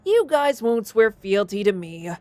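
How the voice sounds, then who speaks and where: A woman's voice: mid-range voice, very animated, a little slow, warm tone, faint music behind. A woman voicing an impish demon boy in an audio drama, teasing and sly, with a theatrical drawl. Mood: scheming.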